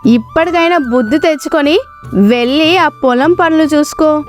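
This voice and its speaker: A woman's voice: high-pitched, moderate pace, soft background music, natural voice. A woman voicing a village mother of grown sons, nagging and reproachful, in a story. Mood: scolding.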